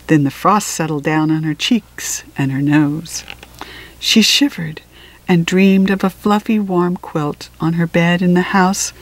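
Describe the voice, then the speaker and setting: A woman reading a children's picture book aloud with expressive phrasing and deliberate pauses. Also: fairly low pitch, rough recording. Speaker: storybook reader.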